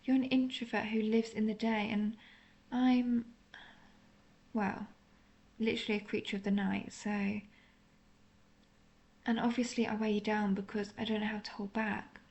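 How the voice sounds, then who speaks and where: A woman playing a lovesick, apologetic female vampire in an intimate audio roleplay, speaking in a hesitant, confessional, softly melancholic way. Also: mid-range voice, little pitch movement, moderate pace, creaky, long pauses, close to an everyday voice. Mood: vulnerable, melancholic.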